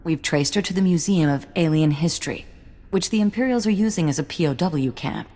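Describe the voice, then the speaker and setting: An actress playing a composed military contact in a video game, speaking in a measured, businesslike way. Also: female voice, sits low, expressive, darkish tone. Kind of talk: video game cutscene acting. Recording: long hall reverb.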